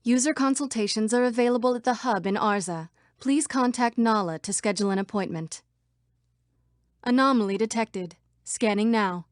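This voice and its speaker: A woman acting a high-pitched female computer program. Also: normal speed, no echo, studio quality, natural voice. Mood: formal, announcing. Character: female computer program citizen, wary, earnest, anxious. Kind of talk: video game voice acting.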